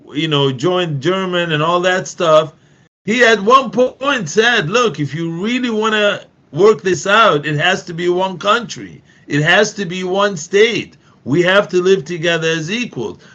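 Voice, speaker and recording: A man's voice: high-pitched, sharp tone, dull audio. A middle-aged Palestinian man in an earnest discussion, talking emphatically with frequent restarts and repeated phrases. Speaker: activist guest.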